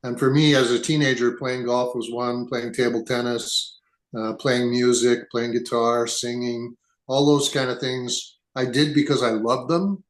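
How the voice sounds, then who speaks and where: A man's voice: mid-range voice, level tone, measured pace. Reflective older man in an echoey room. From England. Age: 70s. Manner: thoughtful, rambling with frequent restarts. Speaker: podcast guest. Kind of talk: relaxed conversational interview.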